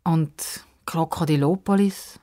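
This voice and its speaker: A woman's voice: low voice, slow, dark tone. A woman voicing a stubborn, spirited young girl in a children's story, defiant and impulsive. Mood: doubtful.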